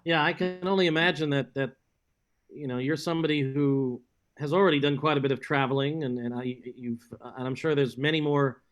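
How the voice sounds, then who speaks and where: A man co-hosting an interview, speaking in a measured, reflective way to his guest. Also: a little high, steady tone, soft background music. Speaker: co-host. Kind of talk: casual interview chat.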